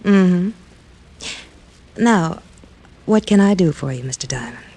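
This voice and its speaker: An actress playing a cool, coy American carnival dancer in old radio detective drama style, guarded and teasing. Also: female voice, lowish voice, lively intonation, slow pace, warm tone, breathy edge, exaggerated voice. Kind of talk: 1940s-50s radio detective acting. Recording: old radio recording, faint music.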